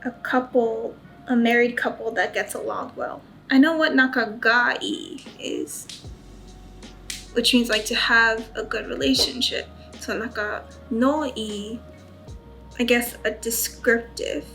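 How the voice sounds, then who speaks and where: A woman's voice: on the high side, steady tone, slow, creaky, fairly bright tone. A woman chatting casually as a study vlogger, carefully sounding out vocabulary as she learns. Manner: self-conscious, careful when pronouncing foreign words. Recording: background music under voice. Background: music.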